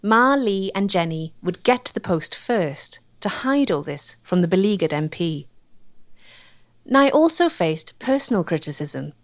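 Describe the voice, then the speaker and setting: A woman reading scripted documentary narration in a measured, storytelling way. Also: mid-range voice, dry recording, studio quality. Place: dry studio voice-over.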